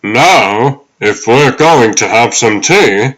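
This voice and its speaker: A man playing a gentle, fatherly elderly butler in a comic dub, formal and kindly. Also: mid-range voice, animated, slow, low-quality recording. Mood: calm counsel. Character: wise, gentle ghostly old butler, fatherly.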